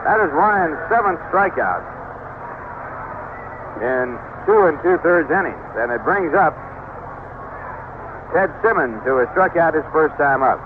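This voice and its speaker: Male baseball radio announcer, live play-by-play, slightly high voice, narrow tinny AM sound, noisy vintage broadcast. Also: lively intonation, measured pace, pauses often. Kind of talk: live radio sports commentary.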